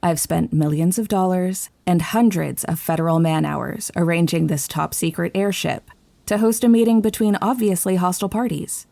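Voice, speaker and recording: A woman's voice: on the low side, moderate pace, creaky, mellow, no room sound. An actress playing a stern, commanding female military commander with a clipped, no-nonsense manner in an audio drama.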